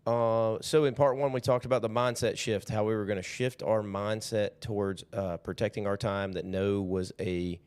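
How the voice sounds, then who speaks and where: A man hosting a podcast, talking casually with a hoarse, raspy voice and many fillers. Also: on the low side, steady tone, moderate pace, hardly any pauses, darkish tone. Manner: easygoing, rambling, many fillers. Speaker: podcast host. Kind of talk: casual motivational talk.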